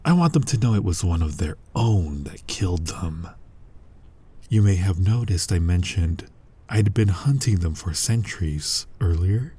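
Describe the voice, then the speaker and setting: A man playing an ancient, brooding, menacing vampire who hunts his own kind, in an ASMR roleplay, husky and breathy with a slow, deliberate, intimate delivery that often drops toward a whisper. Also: sits low, expressive, warm tone, exaggerated voice. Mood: quiet menace. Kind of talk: scripted ASMR roleplay monologue.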